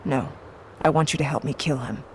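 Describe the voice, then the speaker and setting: A woman's voice: deep voice, a little fast, darkish tone, slight background noise, natural voice. An actress playing a grim, honor-bound warrior woman in a video game, speaking in solemn, stoic declarations. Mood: grim resolve. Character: grim, honor-bound female Norn warrior avenging her family. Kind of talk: dramatic video game cutscene acting.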